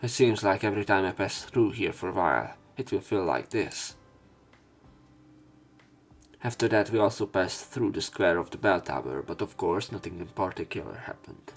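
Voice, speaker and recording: A man's voice: deep voice, animated, normal speed, soft background music. A man reading visual novel narration aloud as a let's play narrator.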